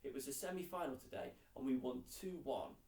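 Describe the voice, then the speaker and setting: A man's voice: mid-range voice, brisk, gravelly, dark tone, lightly acted. Man reading as an earnest young schoolboy, roomy sound. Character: sensitive young schoolboy footballer, eager to please. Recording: roomy echo, distant mic.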